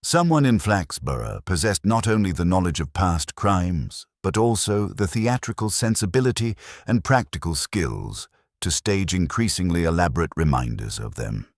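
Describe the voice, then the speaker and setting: A man narrating a scripted mystery story in a measured, formal, literary manner. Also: low voice, big pitch swings, no echo, studio quality.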